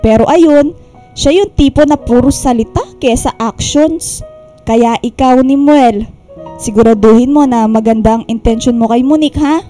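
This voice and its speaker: A woman's voice: high voice, normal speed, dark tone, close to an everyday voice. A woman playing a teasing, protective middle-aged mother in a Tagalog radio drama. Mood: gently firm. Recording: music bed underneath.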